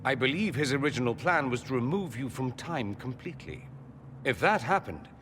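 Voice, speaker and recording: Man playing a witty, animated mage in reverberant hall. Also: mid-range voice, slight background noise, close to an everyday voice. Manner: wry, theatrical, articulate. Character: witty flamboyant male mage, confident and wry. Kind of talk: video game character acting.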